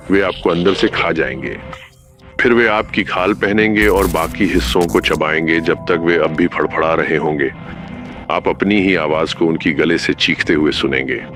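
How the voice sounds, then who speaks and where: A man playing a grave announcer who reads an eerie analog horror warning in a measured, formal, ominous way. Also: low voice, natural voice. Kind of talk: scripted analog horror narration.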